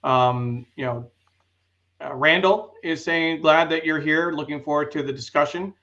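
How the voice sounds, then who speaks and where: A man hosting a casual live interview show, speaking in a conversational, halting way. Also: fairly high pitch, bright, forceful tone, muffled recording.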